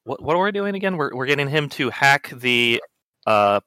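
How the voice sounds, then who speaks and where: A man chatting as a player in a tabletop roleplaying game, halting and full of restarts. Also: medium pitch, sing-song, pushed and bright, no echo. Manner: hesitant, lots of fillers and restarts.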